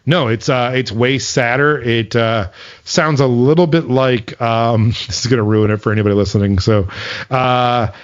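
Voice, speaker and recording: A man's voice: mid-range voice, fairly even intonation, normal speed. A man co-hosting a podcast in casual chat, talking loosely and laughing often as he tells stories. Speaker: podcast co-host and band's keyboard player. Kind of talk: casual chat reacting to old videos. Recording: slightly dull lo-fi sound, faint music behind.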